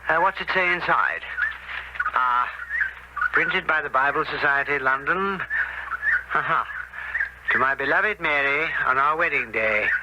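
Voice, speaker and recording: Radio mystery police inspector: methodical, thoughtful, hesitant delivery, muffled old recording. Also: male voice, a little high, animated. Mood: pondering. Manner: deliberate, musing, with hesitations and muttered asides. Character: methodical, deliberate male Scotland Yard police inspector. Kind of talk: radio drama acting. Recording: dull, heavily noisy old radio recording.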